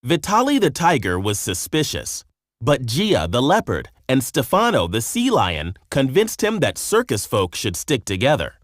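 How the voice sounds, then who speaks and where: A man narrating a children's story from a script in an expressive storytelling manner. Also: medium pitch, normal speed, no room sound, studio quality.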